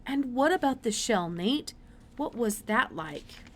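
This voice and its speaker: A curious, absent-minded older man of science, as a woman reader puts him on for a storybook. He is brisk and emphatic, breaking into hesitant mutters and exclamations. The recording has clear background noise. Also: fairly high pitch, animated, a bit bright, pitched above the performer's own voice. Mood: brisk, friendly.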